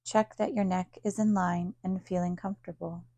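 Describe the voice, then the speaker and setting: A woman with a soft-edged, mellow voice and noticeable vocal fry leads a guided relaxation. She speaks calmly and soothingly, leaving long pauses between short instructions. The sound is close and dry, with no room around it. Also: fairly low pitch, no room sound. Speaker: yoga nidra teacher. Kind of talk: guided meditation.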